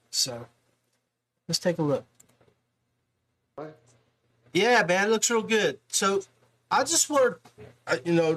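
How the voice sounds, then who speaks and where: A man's voice: sits high, a bit bright. A middle-aged man hosting a podcast, talking in an informal, rambling, joshing way. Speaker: podcast host. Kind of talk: casual interview.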